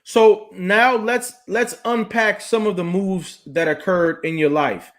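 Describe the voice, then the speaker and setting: High-voiced upbeat male talk host. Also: fairly even intonation, takes it slowly. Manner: upbeat, encouraging, short affirmations. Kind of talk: interview show hosting.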